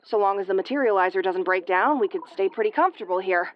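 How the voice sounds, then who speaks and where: A woman's voice: mid-range voice, fast, lo-fi sound, a little hiss or hum, close to an everyday voice. Woman acting a brash, tomboyish tsundere starship commander. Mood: relieved, hopeful. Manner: brusque, sweary, easily flustered. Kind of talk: audio roleplay acting, spoken to the listener.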